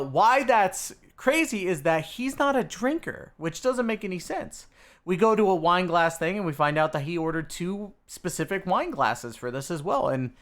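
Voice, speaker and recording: A man hosting a video, giving a casual, informal game story recap straight to his viewers. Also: fairly high pitch. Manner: informal, addresses viewers as dudes and guys. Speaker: video host.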